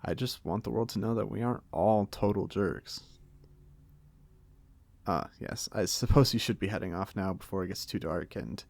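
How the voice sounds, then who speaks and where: A man's voice: on the low side, normal speed, vocal fry, a little mellow, no echo. A man playing a genial old vampire in an audio roleplay, speaking with a British accent in polite, formal, wryly chatty phrases. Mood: cheerful, gracious. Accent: British, put on by an American. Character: genial, chatty, courteous centuries-old male vampire being interviewed. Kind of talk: ASMR audio roleplay monologue.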